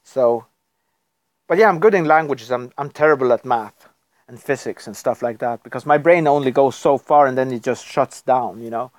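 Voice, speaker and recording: A man's voice: mid-range voice, normal speed, dry recording. A man from Norway, chatting casually on a live stream at his computer, rambling and chuckling often. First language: Norwegian. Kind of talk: casual livestream chat.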